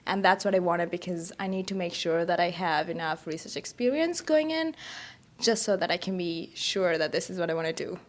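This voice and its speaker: A woman's voice: fairly low pitch, brisk. A young woman student with a Sri Lankan accent, answering questions in a casual interview. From Colombo, Sri Lanka. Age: young adult.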